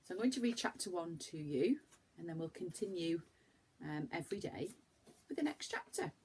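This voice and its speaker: A woman reading a children's story aloud like a primary school teacher, with animated, dramatic emphasis for young listeners. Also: fairly low pitch, moderate pace, slight background noise.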